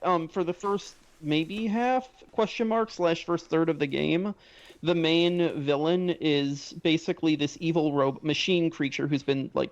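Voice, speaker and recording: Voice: medium pitch, vocal fry. Stammering, eager podcast voice, muffled. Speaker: podcast panelist. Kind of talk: casual chat. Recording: slightly muffled mic.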